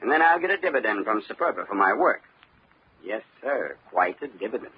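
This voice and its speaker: A man's voice: lowish voice, very animated. A man playing a coldly polite, menacing killer in an old-time radio crime drama. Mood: smug menace. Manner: mock-polite, menacing, drawn-out. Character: smug, coldly polite killer turning to murderous rage. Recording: dull lo-fi old radio, noisy.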